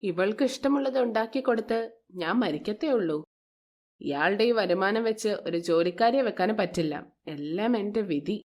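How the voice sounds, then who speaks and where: A woman voicing a bitter, resentful middle-aged stepmother in a moral story, with a sharp, complaining, sarcastic manner. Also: mid-range voice, animated, normal speed, natural voice. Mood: resentful, self-pitying.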